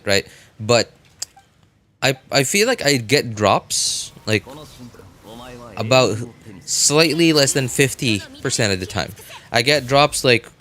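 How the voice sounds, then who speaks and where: A man chatting casually as a game livestreamer, thinking aloud in a halting way and often repeating himself. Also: medium pitch, sharp tone.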